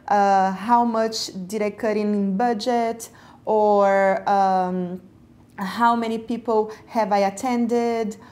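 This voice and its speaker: A woman's voice: mid-range voice, darkish tone. A woman from Brazil, interviewed about job hunting, speaking reflectively and searching for words with frequent fillers. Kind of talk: personal interview.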